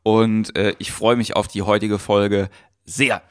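A man's voice: fairly low pitch, flat delivery, measured pace, clear, resonant tone, no echo, studio quality. A man hosting a podcast, talking in a chatty way with many fillers. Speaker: podcast host. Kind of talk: podcast intro and interviewing.

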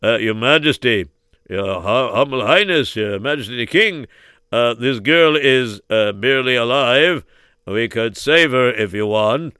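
A man's voice: mid-range voice, lively intonation, measured pace, pushed and bright, clean tone, dry recording, studio quality. A man doing a fawning, stammering court doctor. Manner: hesitant, fawning. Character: fussy male court doctor.

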